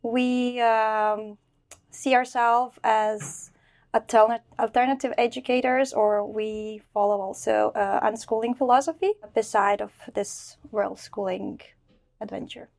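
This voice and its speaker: A woman from Croatia, a mother of three, introducing herself as a podcast guest in an earnest, slightly halting way. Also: a little high, steady tone, creaky, darkish tone. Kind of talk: casual group podcast chat.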